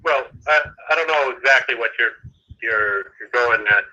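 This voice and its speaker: Man, satellite staffer on a call, halting explainer, very bright tone, tinny phone line. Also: medium pitch. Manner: halting, many restarts, explanatory. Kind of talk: phone conversation.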